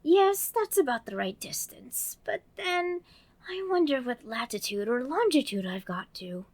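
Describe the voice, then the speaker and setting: A woman's voice: sits high, sing-song, a little slow, voice pushed up, full character voice. A woman voicing a naive, curious little girl who muses aloud to herself in a storybook. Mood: curious, musing. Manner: wondering, musing aloud to herself. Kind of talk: storybook character voice.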